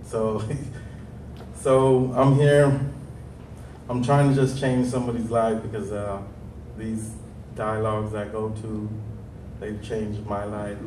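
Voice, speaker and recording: A man in his thirties from Stockton, California with a deep voice, telling his life story on a panel, nervous and hesitant with many pauses. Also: little pitch movement, a little mellow, echoey room, distant mic, a little hiss or hum, low-quality recording. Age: 30s. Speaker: panel speaker. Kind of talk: nervous personal testimony. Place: large hall.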